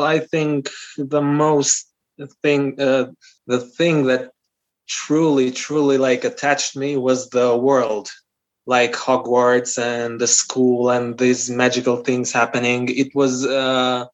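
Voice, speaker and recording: A man in his twenties from North Macedonia whose first language is Albanian, telling a story as a podcast guest with many fillers and restarts. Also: mid-range voice. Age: 20s. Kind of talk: casual interview. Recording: muffled lo-fi audio.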